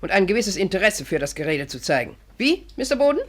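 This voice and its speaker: Bossy, animated village matron. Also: female voice, medium pitch, fast. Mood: scornful, irritated. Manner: brusque, bossy, scornful. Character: domineering, sharp-tongued village chairwoman and mother. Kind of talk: 1950s German radio play acting.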